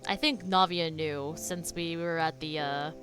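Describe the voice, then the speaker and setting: A woman's voice: low voice, fairly even intonation, measured pace, a bit bright. A woman streamer giving casual live reactions to a video game, in short offhand comments. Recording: game music behind the voice.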